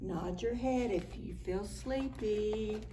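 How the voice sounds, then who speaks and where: Children's librarian, woman, slow and lively story time read-aloud, faint room hiss. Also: mid-range voice. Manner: animated, sing-song, slips into soft whispers.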